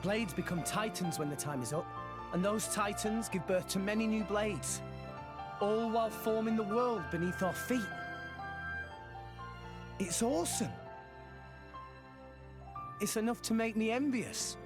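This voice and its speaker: A man's voice: sits high, measured pace, pauses often, over music. An actor playing an earnest, idealistic teenage boy hero in a video game cutscene. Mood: earnest, hopeful.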